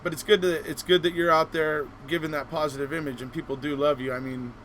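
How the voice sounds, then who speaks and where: Higher-voiced man hosting a casual chat. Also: flat delivery, fast talker, fairly bright tone, slight background noise, rough recording. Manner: encouraging, rambling. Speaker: host of a live online show.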